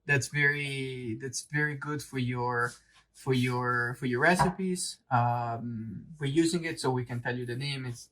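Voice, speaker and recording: A man's voice: mid-range voice, fairly bright tone. Italian man stumbling through a cooking demo. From Italy. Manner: halting, repeats words while searching for them. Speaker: cooking show co-host. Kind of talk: casual cooking demonstration. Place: kitchen.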